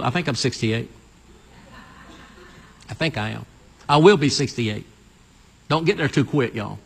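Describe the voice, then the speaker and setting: A man's voice: on the high side, sharp tone, breathy edge. A man, preaching a sermon and calling on the congregation to answer back. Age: 60s. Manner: call-and-response preaching, urging the congregation. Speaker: pastor. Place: church sanctuary. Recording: long hall reverb.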